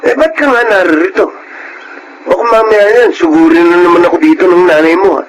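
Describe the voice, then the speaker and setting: A man's voice: high voice, brisk, noisy background, close to an everyday voice. A man playing a caring, pestering young friend in a Filipino radio drama. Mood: wary, teasing. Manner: pestering, concerned. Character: nagging, caring young male friend with motorbike. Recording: loud music bed under dialogue.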